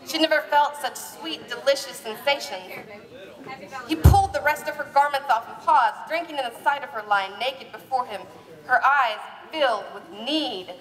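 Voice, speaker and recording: A woman's voice: high-pitched, normal speed, a bit bright. A woman narrating a romance parody as a live dramatic reading, with a theatrical, playful manner. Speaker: narrator and presenter. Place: live stage at a bar party. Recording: echoey live hall, distant mic.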